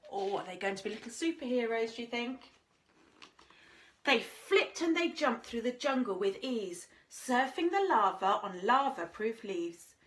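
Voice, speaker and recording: Animated, slow-paced woman reading a storybook. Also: on the high side. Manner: dramatic, addressing young listeners with questions. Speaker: infant school teacher reading stories to children. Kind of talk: expressive children's storybook reading.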